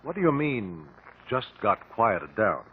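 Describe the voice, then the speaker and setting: An actor playing a calm, shrewd male investigator in a 1950s radio drama, questioning in a measured, precise way. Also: fairly low pitch, slight background noise. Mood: probing, polite. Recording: muffled lo-fi 1950 radio broadcast.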